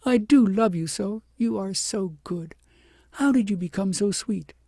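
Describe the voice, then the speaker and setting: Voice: mid-range voice, unhurried, dark tone, breathy edge, no echo, studio quality, voice pushed up. A reader voicing a young princess, humbled, earnest and full of feeling, in a storybook. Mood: adoring, emotional. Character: remorseful young princess, emotional, humbled and adoring.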